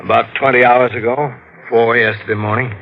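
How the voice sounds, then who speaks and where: An actor playing a hard-nosed homicide detective who gives clipped, stern commands, in 1940s radio acting. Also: male voice, mid-range voice, steady tone, brisk, vocal fry, lightly acted. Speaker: police detective. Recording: muffled old radio broadcast.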